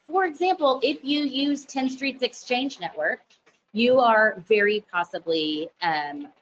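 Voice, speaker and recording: A woman with a loud voice at a middle pitch walks listeners through legal rules in a conversational webinar. She explains patiently and corrects herself as she goes. The audio sounds a little dull, as from an online meeting. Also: slight background noise. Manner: conversational and explanatory, frequent fillers and self-corrections. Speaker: company lawyer presenting a compliance webinar. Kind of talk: webinar presentation. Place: office at company headquarters, heavy rain outside.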